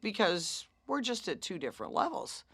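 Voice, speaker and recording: A woman's voice: mid-range voice, big pitch swings, normal speed, a bit bright, husky, no echo. A woman, a musician guest, telling a story in casual chat with an earnest, reassuring manner.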